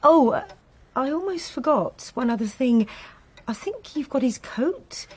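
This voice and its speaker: An actress playing an evasive working-class English woman posing as a bereaved sister in a radio drama, fussy, halting and over-apologetic. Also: sits high, animated, a little mellow, husky, exaggerated voice. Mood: evasive, hurried.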